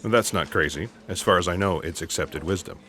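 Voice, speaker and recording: Low-voiced, deadpan male agent played by an actor. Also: a little fast. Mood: polite. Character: earnest, courteous, awkwardly frank male special agent. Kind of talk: comedic sci-fi audio theatre acting.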